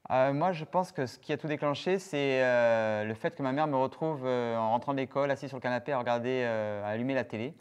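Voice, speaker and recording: A man's voice: medium pitch, fairly quick, hardly any pauses, smooth, dull audio. A man from France, a circus performer, answering interview questions with many hesitations. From France, Toulouse.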